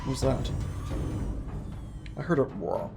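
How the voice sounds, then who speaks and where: A man's voice: mid-range voice, lively intonation, unhurried, vocal fry. A man giving casual, rambling let's play commentary, often interrupting himself with playful wording. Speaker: gaming video host. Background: game music.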